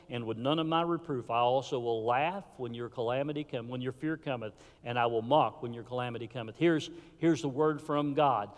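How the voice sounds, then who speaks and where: A high-voiced man delivers a sermon from a church pulpit, his voice ringing out with a long hall reverb. He preaches with fervor, then drops suddenly to a near-hush. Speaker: pastor. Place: church sanctuary.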